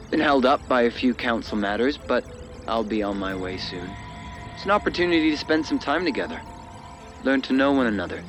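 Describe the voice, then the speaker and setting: A man's voice: fairly low pitch, lightly acted. A man playing an entitled, powerful suitor in a video game cutscene, speaking formally and condescendingly with menacing politeness. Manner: formal, measured, condescending, self-assured.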